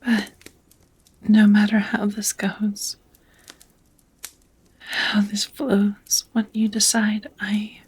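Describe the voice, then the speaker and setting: A woman voicing a devoted, nervous vampire roommate in an intimate ASMR roleplay, whispering softly in a halting, earnest, tender way. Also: mid-range voice, monotone, exaggerated voice. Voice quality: soft, breathy whisper.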